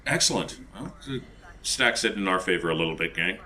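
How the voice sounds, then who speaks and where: A man playing a tabletop game, chatting casually in a playful, animated way. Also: deep voice, brisk.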